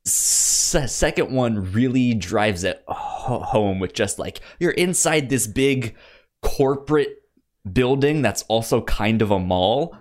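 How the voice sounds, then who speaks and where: A man co-hosting a podcast, chatting casually about films in a halting way, often repeating the starts of words. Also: medium pitch, animated. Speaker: podcast co-host. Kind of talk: casual film discussion.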